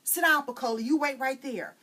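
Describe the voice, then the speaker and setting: A woman's voice: high voice, animated, brisk, sharp tone, voice pushed up, full character voice. A woman doing a bossy know-it-all girl. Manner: bossy, commanding.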